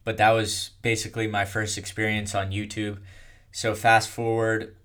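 Young man, low voice, very flat, monotone, rambling casual story. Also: normal speed. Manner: monotone, rambling with many fillers. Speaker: content creator.

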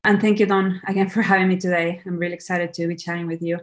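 A woman's voice: fairly low pitch, little pitch movement, fast talker. A young woman, a documentary director, speaking earnestly in a podcast interview and often restarting her words. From Peru. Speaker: documentary film director, guest.

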